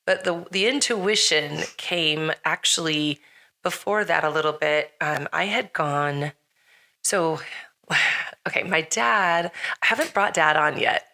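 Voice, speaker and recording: A middle-aged woman with a slightly hoarse, raspy voice hosts a casual podcast chat, rambling with lots of fillers and frequent laughs. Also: low-pitched, slow, bright, forceful tone. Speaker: podcast host.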